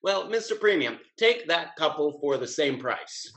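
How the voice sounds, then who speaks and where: Man acting exuberant young spendthrift gentleman, higher-pitched. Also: sing-song, measured pace, heavily acted. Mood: jovial, brisk. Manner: exuberant, declamatory. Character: spendthrift good-hearted young gentleman, carefree and impulsive. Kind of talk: period comedy stage acting over video call. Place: indoors at home, video call.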